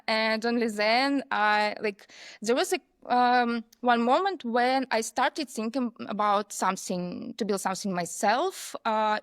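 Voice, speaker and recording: A woman from Belarus with a Belarusian accent, a podcast guest speaking English hesitantly with many pauses for words. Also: on the high side, no echo, studio quality. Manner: hesitant, searching for words, frequent uhs. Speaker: guest, agency founder. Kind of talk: casual interview chat.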